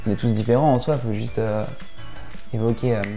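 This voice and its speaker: Young guy from the south of france, warm low-key voice, lots of euh and giggles, lapel mic, faint music behind. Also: fairly even intonation, fairly quick, vocal fry. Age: young adult. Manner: hesitant, laughing, full of "euh" fillers. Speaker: guest youtuber. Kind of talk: casual quick-fire question game. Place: small room indoors.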